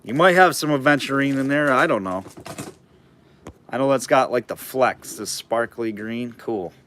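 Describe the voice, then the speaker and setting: Hoarse man calling a live auction. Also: medium pitch, moderate pace, a bit bright, slight background noise. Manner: chatty, rambling asides between bid calls. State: hoarse, losing his voice.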